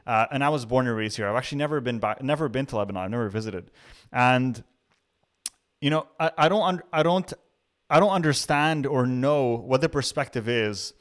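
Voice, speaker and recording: A man born in Canada hosting an interview, talking thoughtfully and building long questions around analogies. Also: medium pitch. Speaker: interview host. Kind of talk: conversational interview.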